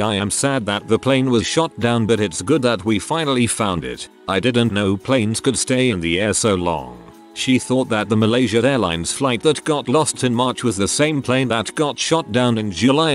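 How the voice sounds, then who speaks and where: A man reading short written posts aloud as scripted narration, spelling out abbreviations and punctuation in a flat way. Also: lowish voice. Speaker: narrator of online forum stories.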